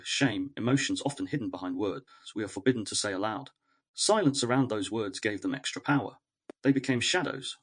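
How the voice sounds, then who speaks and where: Guy reading a thoughtful scripted essay, bit husky, earnest n measured, deliberate little phrases. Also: medium pitch. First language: English. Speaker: narrator of an educational essay series. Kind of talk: scripted reflective essay narration.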